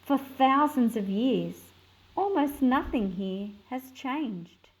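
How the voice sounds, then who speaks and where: A woman with an Australian accent presenting a picture book for children, explaining things in simple, clear phrases. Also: sits high, lively intonation, slow pace, poor audio. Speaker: read-aloud host for children.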